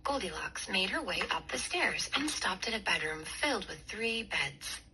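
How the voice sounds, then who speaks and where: Animated woman reading a children's story. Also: mid-range voice, normal speed, rough recording. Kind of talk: children's bedtime story read aloud. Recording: clear background noise.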